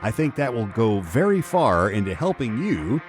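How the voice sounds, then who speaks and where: A middle-aged man hosting a solo podcast, slightly hoarse, chatting conversationally with many ums and restarts as he reads news aloud. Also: on the low side, big pitch swings, moderate pace, soft background music. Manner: conversational, repeats words and restarts, frequent ums. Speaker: podcast host.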